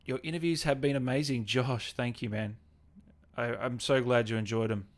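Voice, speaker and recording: A man hosting a casual livestream, reading out viewer messages and thanking people with effusive, drawn-out, hyped shout-outs. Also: medium pitch, steady tone. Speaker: livestream host and channel owner. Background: music playing behind the voice.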